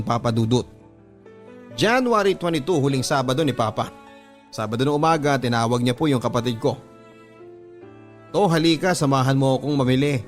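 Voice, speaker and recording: A man with a Filipino accent reading a scripted, first-person confessional story in a polite, earnest manner, as a radio drama narrator. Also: mid-range voice. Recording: music bed under the voice. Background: background music.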